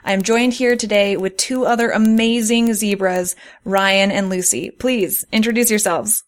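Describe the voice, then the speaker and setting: A woman's voice: mid-range voice, normal speed. Earnest woman hosting a podcast. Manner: earnest, reads terms carefully, laughs at her own slips. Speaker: podcast host. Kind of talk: reading prepared explanations.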